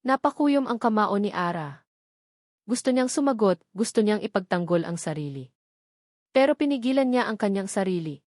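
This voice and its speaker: Woman, Filipino accent, mid-pitched, scripted dramatic storytelling, romance narrator, dry recording. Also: expressive, moderate pace, a little mellow, dry recording.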